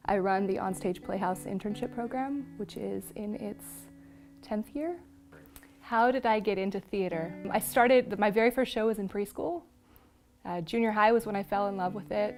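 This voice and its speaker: A woman's voice: mid-range voice, moderate pace. A woman who teaches theatre, talking reflectively in a documentary interview. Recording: prominent guitar music behind the voice. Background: guitar music.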